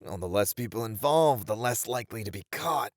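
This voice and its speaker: A man's voice: lowish voice, animated, fairly quick, no room sound, clean studio sound. A man playing a cocky, flirtatious captured master ninja with a flippant, sighing delivery in a scripted ASMR audio roleplay. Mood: confident.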